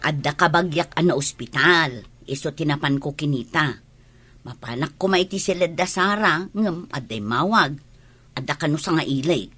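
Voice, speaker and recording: A woman's voice: deep voice, expressive, normal speed, fairly bright tone, breathy edge, exaggerated voice. A woman with an Ilocano Filipino accent playing a casual, chatty village acquaintance in a radio drama.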